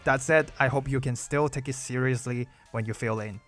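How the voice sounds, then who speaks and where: A young man from China with a Chinese accent, a Mandarin native speaker, reading out a Q&A video in a measured, careful way. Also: mid-range voice, fairly even intonation, fairly bright tone, faint music behind. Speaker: gaming YouTuber. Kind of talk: read Q&A video narration.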